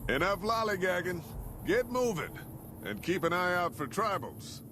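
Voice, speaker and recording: An older man with an American Western drawl, acting a gruff, plainspoken old caravan leader in a video game. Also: high-pitched, very animated, moderate pace, background noise. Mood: exasperated, urgent. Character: gruff folksy old caravan leader, weathered male storyteller. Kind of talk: video game character dialogue and narration.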